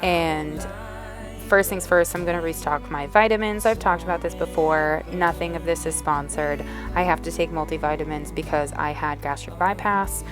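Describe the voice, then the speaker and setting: A woman's voice: low-pitched, fairly even intonation, normal speed. A woman vlogger, a bit sniffly, narrating her day in a chatty, rambling way. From Northeastern United States. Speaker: lifestyle vlogger. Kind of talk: casual voice-over narration. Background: background music.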